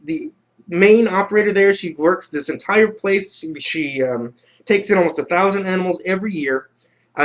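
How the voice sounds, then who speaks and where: A man with a slightly high voice is chatting as the host of a livestream. He talks casually and rambles, doubling back over his own phrases. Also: a bit bright. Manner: rambling, repeats himself.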